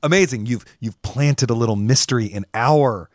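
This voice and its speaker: A man's voice: mid-range voice, moderate pace, dry recording. A man presenting a podcast in an upbeat, conversational style on a close mic. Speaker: podcast host.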